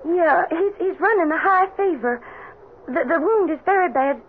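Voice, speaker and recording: Spirited Western woman, high pitch, earnest delivery, radio drama, narrow vintage broadcast sound. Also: animated, moderate pace, a little hiss or hum. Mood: flustered. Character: spirited, proper married woman on a cattle drive. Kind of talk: old-time radio drama acting.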